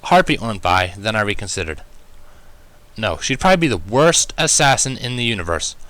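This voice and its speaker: Casting: male storyteller, lively varied pitch, bright tone, expressive first-person narration. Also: fairly quick, no room sound. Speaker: fan fiction reader.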